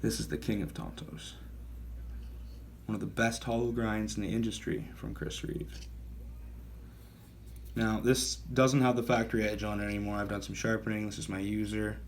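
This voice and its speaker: A man's voice: fairly low pitch, flat delivery, normal speed, long pauses, slight background noise. A man in his thirties giving a casual gear review, talking in a rambling, self-correcting and enthusiastic way. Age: 30s. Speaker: knife reviewer.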